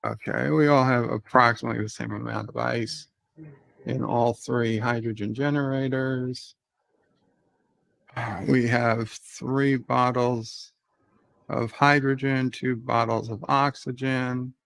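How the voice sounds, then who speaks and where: A man streaming a game, slowly and methodically talking through what he is doing. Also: medium pitch, flat delivery, vocal fry, fairly bright tone, no room sound. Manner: slow, methodical, thinking aloud. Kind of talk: casual gameplay narration.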